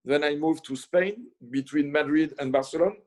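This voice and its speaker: A man's voice: a little high, darkish tone. A middle-aged man from France with a French accent, a chef talking about his career in a casual interview, full of fillers and restarts. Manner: halting, many fillers and restarts. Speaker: chef and guest.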